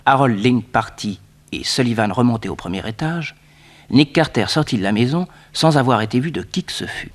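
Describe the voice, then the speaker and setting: An actor narrating a 1960s radio detective serial as a measured storyteller. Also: male voice, medium pitch, expressive, noisy background, close to an everyday voice.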